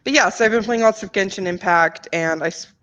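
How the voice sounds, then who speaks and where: A woman's voice: medium pitch, brisk, fairly bright tone. A woman co-hosting a podcast, chatting casually about video games in a giggly, self-deprecating way. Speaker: podcast co-host. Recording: slightly dull, lo-fi audio.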